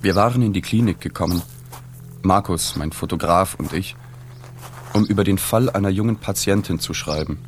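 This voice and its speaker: An actor playing a sober, observant journalist in a literary radio drama, measured and reflective. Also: male voice, low-pitched, soft background music, close to an everyday voice. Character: sober, observant male journalist recounting a reportage. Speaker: narrator and journalist.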